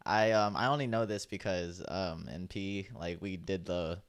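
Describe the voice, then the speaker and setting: Laid-back young man with a smooth voice. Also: low voice, steady tone, moderate pace. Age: young adult. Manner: laid-back storytelling, heavy use of "like". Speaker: podcast co-host. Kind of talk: casual chat.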